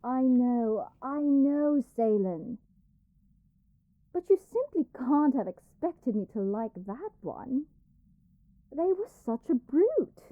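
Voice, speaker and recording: A woman's voice: sits high, very animated, takes it slowly, no room sound, narrow, tinny audio, full character voice. A woman playing an arrogant young princess in a dramatic audio roleplay, haughty, petulant, theatrical and given to complaint. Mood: indignant, haughty.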